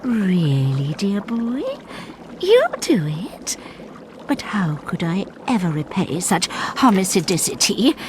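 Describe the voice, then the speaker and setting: A woman's voice: medium pitch, sing-song, slow, background noise. Melodramatic witch, wildly swooping voice. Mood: doting, wheedling. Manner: theatrical, swooping from murmurs to shrieks. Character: eccentric doting witch, melodramatic and volatile. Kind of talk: animated game cutscene acting.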